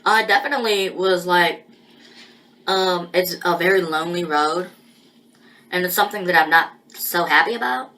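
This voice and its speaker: A woman talking through a personal monologue in a halting, rambling way, with many pauses and restarts. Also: on the low side, bright, forceful tone, rough recording.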